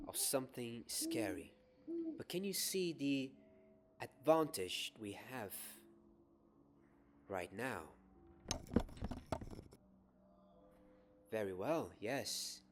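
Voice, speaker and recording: A young man playing a gentle wizard professor in an ASMR roleplay, soft-spoken and often whispering, measured and encouraging. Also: mid-range voice, animated, slight background noise. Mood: hushed, instructive. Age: young adult. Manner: measured, encouraging teacher, repeating words softly. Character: gentle wizard professor teaching a magic class.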